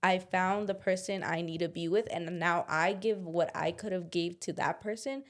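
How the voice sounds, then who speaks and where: A woman's voice: fairly low pitch, steady tone, normal speed. Candid young woman podcast co-host. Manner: casual, slangy, confessional, drops into quoted inner voices. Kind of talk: candid casual chat.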